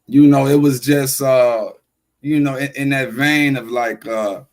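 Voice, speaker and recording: A man's voice: medium pitch, steady tone, unhurried. Rambling man in his forties. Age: 40s. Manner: rambling, full of fillers and "right?" tag questions. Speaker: podcast guest, rapper. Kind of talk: casual chat.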